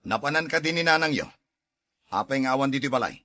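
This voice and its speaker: A man playing a suspicious, jealous husband and stern father in a radio drama, with a gruff, accusing manner. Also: mid-range voice, lively intonation, a little fast, pushed and bright, no echo, studio quality. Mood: suspicious, probing.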